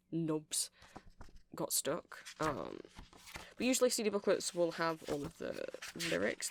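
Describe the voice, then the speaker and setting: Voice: medium pitch, vocal fry, slight background noise, natural voice. A performer in an ASMR roleplay playing a nerdy, awkward, friendly male vampire music-shop seller who rambles hesitantly and apologetically to the listener. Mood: chatty, explanatory.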